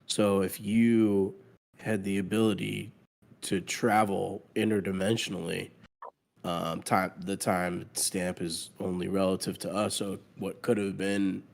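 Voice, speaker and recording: A man giving a casual, halting explanation as a panelist in a discussion. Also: low-pitched, little pitch movement, creaky. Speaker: talk show panelist.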